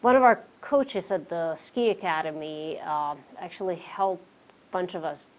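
A woman in her forties from the Czech Republic with a Czech accent, relaxed as an interview guest, sprinkling in fillers. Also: on the low side, a little slow. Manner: relaxed, many fillers like "you know" and "um". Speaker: interview guest, pro cyclist. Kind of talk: casual interview answers. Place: small studio with curtains, indoors.

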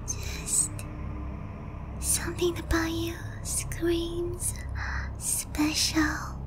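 A woman playing an obsessive young vampire princess in a slow, cooing, breathy half-whisper for an ASMR roleplay, sweetly menacing and possessive. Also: extremely high pitch, completely flat delivery, music in the background, full character voice. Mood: obsessive, sinister. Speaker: roleplay voice actress.

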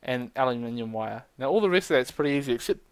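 A young man chatting casually while playing a game with a friend, reacting quickly. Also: mid-range voice, expressive, clear, resonant tone, no echo. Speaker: gamer. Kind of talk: casual let's play chat.

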